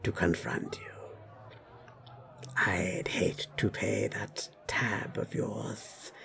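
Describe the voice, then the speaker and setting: Man playing a slow, whispery snake-man villain. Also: fairly low pitch, fairly even intonation, creaky, a bit bright, exaggerated voice. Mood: smooth menace. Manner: slow, drawn-out, mock-courteous. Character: sly, menacing snake-man enforcer, softly polite and threatening. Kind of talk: audio roleplay villain.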